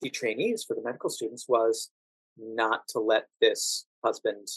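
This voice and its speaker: An American man speaking as an interview guest, telling anecdotes conversationally with drawn-out emphasis on key words. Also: lowish voice, husky, dry recording, rough recording. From United States. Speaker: podcast guest, actor working as a standardized patient.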